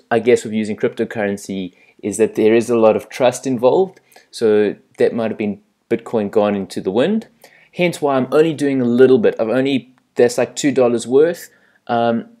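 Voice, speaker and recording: A South African man talks his viewers through a screen recording in a loose, chatty way, with plenty of ums and restarts. His voice sits at an ordinary middle pitch. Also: warm tone. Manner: chatty, self-deprecating, with fillers and restarts. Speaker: channel host. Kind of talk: casual screen-recording walkthrough.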